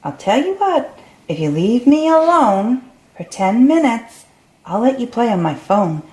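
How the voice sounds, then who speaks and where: A woman's voice: fairly high pitch, very animated, unhurried, mellow. A woman reading a storybook aloud to young children. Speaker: storybook reader. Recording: roomy, echoey recording.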